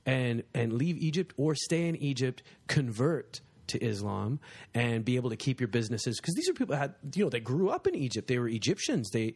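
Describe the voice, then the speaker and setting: A man talking in a brash, animated way, joking through casual chat on a podcast. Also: medium pitch, slightly rough. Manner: brash and animated, jokes a lot.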